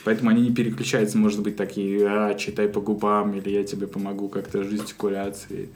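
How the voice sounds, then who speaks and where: A man's voice: fairly low pitch, monotone, fast talker, darkish tone, slight background noise. A man from Russia co-hosting a podcast, telling a story in a loose, chuckling, conversational way. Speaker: podcast co-host. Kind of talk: casual conversation.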